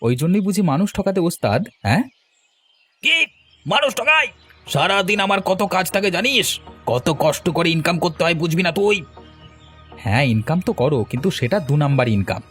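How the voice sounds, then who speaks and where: A man's voice: high-pitched, sing-song, a little fast, fairly bright tone, breathy edge, full character voice. A man voicing a village man in a cartoon, with an emphatic, conversational manner. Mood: indignant, scolding. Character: male villagers in a cartoon, bantering and quarrelling. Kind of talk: Bengali cartoon voice acting. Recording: faint music behind the voice.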